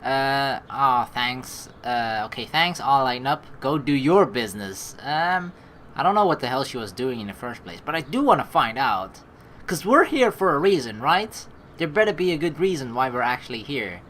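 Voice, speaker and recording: A young woman reading a visual novel aloud with joking, swearing asides, animated and quick to laugh. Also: very deep voice, a little hiss or hum. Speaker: let's play streamer reading a visual novel.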